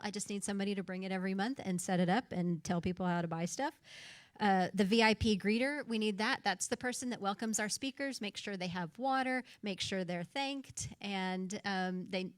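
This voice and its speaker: A woman runs through volunteer announcements for a meeting in an informal, chatty way, with plenty of fillers. Her voice is mid-pitched and sounds close and dry. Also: no echo. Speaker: meeting organizer. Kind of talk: casual announcements to a crowd.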